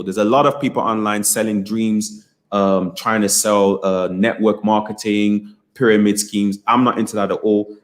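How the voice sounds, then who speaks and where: A young British man coaching on a livestream, chatting casually to the viewers in the chat, encouraging them and repeating his phrases with plenty of fillers. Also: on the low side. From United Kingdom. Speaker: business coach hosting a livestream.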